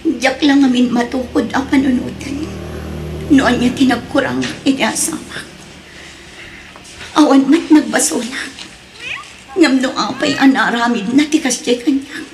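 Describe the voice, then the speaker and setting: A woman's voice: high voice, unhurried, long pauses, darkish tone, a little hiss or hum. An actress with an Ilocano accent playing a sorrowful young wife and mother in a radio drama. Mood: despairing.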